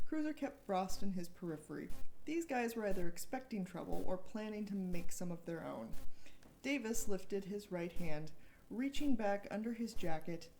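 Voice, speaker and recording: Woman reading her own thriller book out loud, dramatic but informal, medium pitch. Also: poor audio. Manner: informal, breaks off to joke and correct slips.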